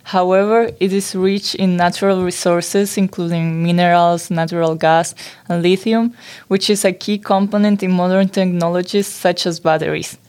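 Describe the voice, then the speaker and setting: Even-toned young woman from Spain. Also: fairly low pitch, moderate pace, clear, resonant tone. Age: young adult. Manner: even, informative, read aloud. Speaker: student guest. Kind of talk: reading prepared explanations.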